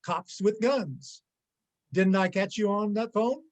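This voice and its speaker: High-voiced menacing gangster played by a man. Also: slow. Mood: sneering, suspicious. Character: menacing, sarcastic male gangster robber, jumpy and vengeful. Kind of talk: amateur reader's theater acting.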